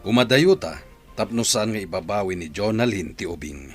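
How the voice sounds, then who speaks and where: A man playing a worried, scheming husband in an audio drama, speaking earnestly and deliberately. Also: on the low side, lively intonation, slightly rough, faint music behind. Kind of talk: Ilocano audio drama acting.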